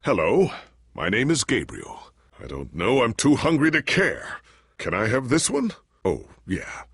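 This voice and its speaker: An actor playing a proud but anxious, forgetful legendary warrior in a video game, with an earnest, formal, theatrical delivery. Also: male voice, medium pitch, huge pitch swings, a little slow, husky, no echo, studio quality, full character voice. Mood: genial, bemused. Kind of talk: dramatic video game voice acting.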